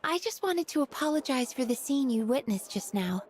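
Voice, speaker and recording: A woman's voice: sits high, animated, moderate pace, a little hiss or hum. A woman voicing a polite, hesitant young female kitchen staff member in a video game. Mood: apologetic.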